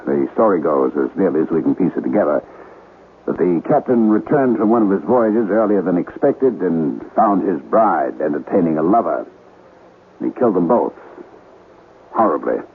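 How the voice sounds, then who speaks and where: An actor playing an excitable, emphatic businessman client in a radio drama, agitated about a haunted house. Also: deep voice, normal speed. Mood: earnest storytelling. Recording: dull, noisy old radio broadcast.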